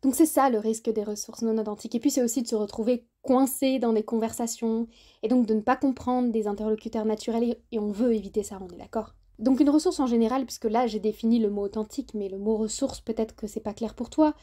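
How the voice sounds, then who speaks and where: A woman's voice: a little high, warm tone. A woman hosting a solo podcast, explaining things informally and speaking directly to the listener in a conversational, didactic way. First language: French. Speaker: podcast host and French tutor.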